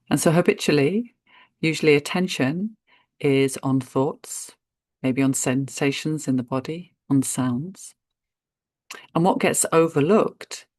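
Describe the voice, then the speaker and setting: Female meditation guide, very slow gentle delivery with spacious pauses, dry recording. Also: sits low, no echo. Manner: unhurried, gentle, spacious pauses between short phrases.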